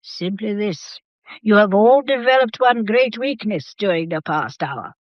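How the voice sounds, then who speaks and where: An imperious elderly matriarch played by a woman in a period radio drama. She speaks in a measured, formal way, weary yet shrewd and coolly composed. The sound is dry and a little dull. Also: mid-range voice, animated, dry recording, natural voice. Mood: weary, commanding. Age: older.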